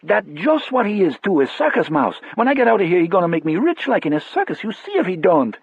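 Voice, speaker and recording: A man voicing an excitable, childlike convict in an audiobook, exuberant and proud, with folksy ungrammatical speech. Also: high voice, fast talker, creaky, slightly rough, dry recording, muffled recording, voice pushed up, exaggerated voice. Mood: rapturous delight. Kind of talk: audiobook character voice.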